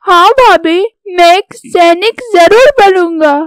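An earnest little boy from a cartoon story , acted by a woman. The voice is extremely high, the words slow and careful, recorded very dry. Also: dry recording. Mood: determined. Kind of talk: scripted Hindi cartoon story dialogue.

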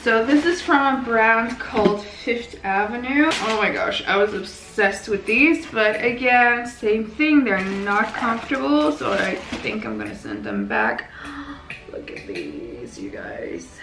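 A young woman chatting casually in a haul vlog on her living-room sofa, a little raspy from lack of sleep, gushing and laughing often. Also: fairly high pitch, sing-song, slow pace, background noise. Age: young adult. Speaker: vlogger. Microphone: camera mic from a few feet away. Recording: roomy echo from camera distance.

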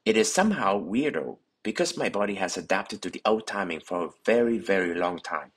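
A man narrating a scripted instructional voice-over, explaining technique in steady, slightly non-native phrasing. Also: sits low, a little fast, slightly rough. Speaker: bowling coach.

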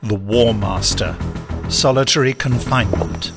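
A man's voice: on the low side, unhurried, creaky. A man performing scripted announcements for an audio drama trailer in a solemn style. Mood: measured. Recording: music under trailer narration.

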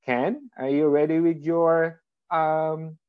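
A man's voice: fairly high pitch, little pitch movement, slow, clear, resonant tone, no room sound. Man hosting a video call meeting. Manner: loose grammar, fillers. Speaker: meeting host. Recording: dull dry video call audio.

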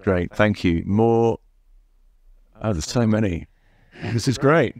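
A man's voice: lowish voice, expressive, takes it slowly, a little mellow. A middle-aged man moderating a question session at a conference in an auditorium. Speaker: panel moderator. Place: stage in a large auditorium.

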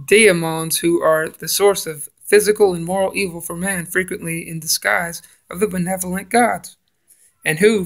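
A man's voice: sits high, gravelly, a bit bright. A man reading passages from a book aloud and adding his own comments, stumbling and restarting on hard names. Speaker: religious video maker. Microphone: phone camera filming a book page.

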